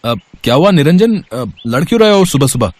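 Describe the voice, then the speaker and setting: A man's voice: fairly high pitch, big pitch swings, a little fast, a little mellow. A man playing a humble, considerate young auto-rickshaw driver in an acted storybook dialogue. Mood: calm, helpful.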